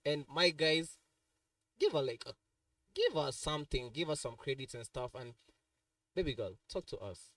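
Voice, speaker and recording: A man's voice: on the high side, sing-song, moderate pace, pauses often, a bit bright, dry recording, recorded from a distance. A man hosts a radio talk show in a studio. His easygoing, chatty delivery keeps tagging lines with "yeah?". His voice sits a little back from the mic in a dry room. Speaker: radio host. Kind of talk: casual studio interview.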